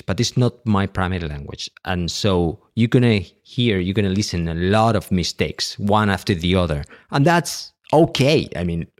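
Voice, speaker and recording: A middle-aged man with a Catalan accent, speaking English as a second language in a halting solo monologue with many restarts and fillers. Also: low voice, darkish tone. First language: Catalan and Spanish. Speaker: podcast host.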